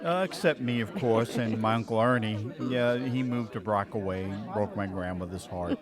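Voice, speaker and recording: A man playing an awkward, homesick young accountant in a live stage radio play, animated and stammering. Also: on the low side, moderate pace, a crowd in the background, lightly acted. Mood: wistful, candid. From New York. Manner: animated, stammering, forced cheer slipping into candor. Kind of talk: live stage audio drama before an audience. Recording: live stage show, music, audible audience. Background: music and audience.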